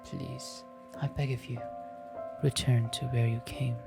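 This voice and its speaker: Guy doing a sad gentle wife begging softly in a horror visual novel, bit of creak, music under. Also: medium pitch, flat delivery, unhurried. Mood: tearful pleading. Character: sorrowful, weeping wife, gentle but resolute actress.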